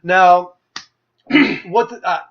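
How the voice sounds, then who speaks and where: A man's voice: sits high, very slow, slight background noise. A young man hosting a casual gaming news show, opinionated and full of fillers as he runs through stories. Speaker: podcast host. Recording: muffled lo-fi livestream audio.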